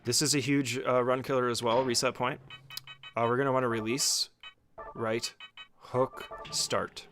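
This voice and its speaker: A man's voice: mid-range voice, flat delivery, unhurried, fairly bright tone. Man giving casual gameplay walkthrough over music. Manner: informal, occasionally swearing, step-by-step instructions. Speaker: speedrunner giving a tutorial. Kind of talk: casual instructional gameplay commentary.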